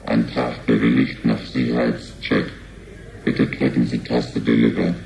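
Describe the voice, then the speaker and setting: Robotic bank terminal, extremely low, flat intonation, formal, clipped, emotionless, sci-fi radio drama. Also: male voice, a little slow, vocal fry, exaggerated voice. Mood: flat, official. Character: slow, monotone bank terminal machine, very deep. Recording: echoey with faint noise.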